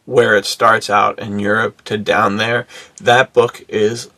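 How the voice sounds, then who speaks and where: A man's voice: lowish voice, little pitch movement, takes it slowly, pushed and bright, dry recording. A man co-hosting a podcast in casual chat, talking in laid-back bro slang. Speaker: podcast co-host.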